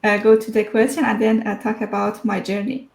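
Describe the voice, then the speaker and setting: A woman's voice: mid-range voice, fairly even intonation, fairly quick, gravelly, reverberant. A woman, telling her personal story as an interview guest, hesitant and full of fillers. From Iran.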